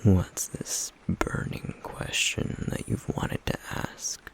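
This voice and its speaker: A man playing a stoic, guarded, wounded flame demon in an intimate ASMR roleplay, speaking in a breathy, gravelly near-whisper with a slow, brooding, wryly teasing delivery. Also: lightly acted. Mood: amused.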